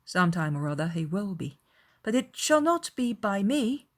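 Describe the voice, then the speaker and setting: A woman voicing an aggrieved young gentleman in a dramatized audiobook, confiding and self-justifying, with measured, earnest, formal delivery. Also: mid-range voice, sing-song, lightly acted.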